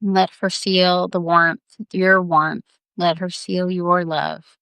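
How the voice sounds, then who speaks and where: A woman's voice: on the low side, flat delivery, slow, gravelly, fairly bright tone, smooth, no room sound. A woman leading a guided visualization in a tender, earnest, coaxing way, improvising as she goes and repeating her phrases. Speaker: guided meditation leader.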